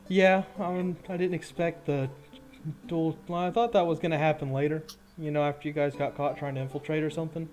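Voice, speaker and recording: A man's voice: on the high side, level tone, a little fast, darkish tone. A man talking casually as the game master of a tabletop roleplaying session. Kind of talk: casual chat. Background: background guitar music.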